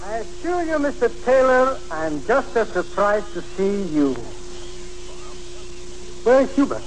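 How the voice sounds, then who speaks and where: An actor playing a polite, slippery fortune teller with slow, courteous menace in a 1940s radio drama. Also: male voice, sits high, very animated, full character voice. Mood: smooth menace. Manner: oily, courteous, drawn-out delivery. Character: polite, untrustworthy male fortune teller with sinister calm. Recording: humming, noisy 1940s radio recording.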